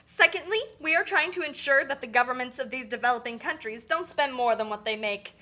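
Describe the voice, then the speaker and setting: A woman's voice: high voice, fairly quick, bright, forceful tone. A woman playing an officious global lending official in a puppet show, explaining things with authority. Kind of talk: scripted educational puppet show.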